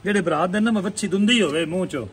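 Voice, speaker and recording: Farmer man, high-pitched, matter-of-fact about his cows, outdoor yard, faint noise. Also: racing. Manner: matter-of-fact, explains breed and price. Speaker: dairy farm owner. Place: outdoor cattle farm yard.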